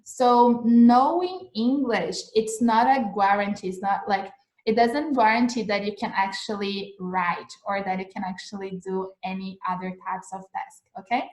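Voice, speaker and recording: A young Brazilian woman whose first language is Portuguese, giving a relaxed English lesson over a phone livestream. She talks in a chatty way, checking in with "right?" and "like", at a middle pitch. Her voice carries a roomy echo. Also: moderate pace. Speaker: English teacher.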